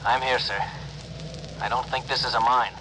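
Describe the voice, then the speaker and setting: An actor playing an eager, dutiful spaceship officer, brisk, earnest and deferential, in a 1950s radio drama. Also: male voice, medium pitch, steady tone, pushed and bright, natural voice. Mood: alert, reporting. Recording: faintly noisy old radio broadcast.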